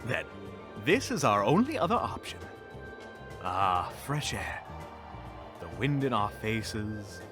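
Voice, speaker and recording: A man's voice: fairly low pitch, huge pitch swings, slow, long pauses, over music, heavily acted. Man acting a sneering, wildly swooping evil wizard. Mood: dry sarcasm. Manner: pompous, melodramatic, sardonic. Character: grumpy, theatrical evil male wizard, sneering and sarcastic. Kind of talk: fan audio roleplay acting.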